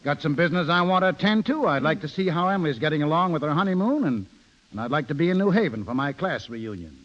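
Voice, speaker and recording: Folksy, animated American businessman. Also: fairly high pitch, fast. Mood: earnest, uneasy. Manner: folksy, hesitant. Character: plainspoken, middle-aged American automobile magnate, homespun and earnest. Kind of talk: 1930s radio drama acting. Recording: dull 1930s radio broadcast recording.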